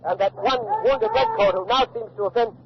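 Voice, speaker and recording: High-pitched urgent radio war reporter played by a man. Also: close to an everyday voice. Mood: tense, shaken. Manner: urgent, halting eyewitness reporting. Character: urgent male radio war reporter, breathless eyewitness at a battle. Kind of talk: 1940s radio acting, live on-the-spot news commentary. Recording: muffled old radio recording, loud battle noise.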